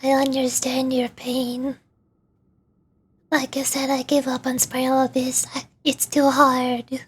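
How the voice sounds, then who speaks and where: High-pitched woman chatting on a game stream. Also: completely flat delivery, takes it slowly, husky. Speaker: livestreamer, vtuber. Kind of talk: casual gaming livestream chat.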